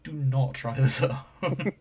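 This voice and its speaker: A man's voice: mid-range voice, takes it slowly, husky. A man co-hosting a podcast in a casual, jokey, giggly way. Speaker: podcast co-host. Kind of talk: casual chat.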